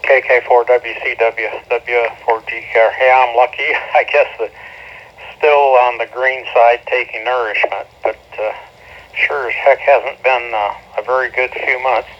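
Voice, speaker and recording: A man's voice: mid-range voice, fairly even intonation, unhurried. A man chatting in a wry, rambling way as he checks in on a ham radio net. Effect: ham radio transmission. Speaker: amateur radio operator. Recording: dull, lo-fi ham radio audio.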